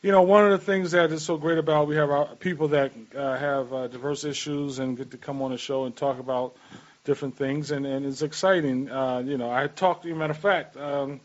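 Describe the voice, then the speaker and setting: A man's voice: fairly high pitch, steady tone, brisk. A man hosting a radio talk show in casual, rambling, repetitive chat. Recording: dull lo-fi radio stream.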